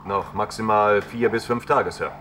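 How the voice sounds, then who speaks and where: Male actor as businesslike starship crewman, lowish voice, reporting dutifully over clear noise, radio play. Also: moderate pace, natural voice. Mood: alert. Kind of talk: German science-fiction radio drama acting.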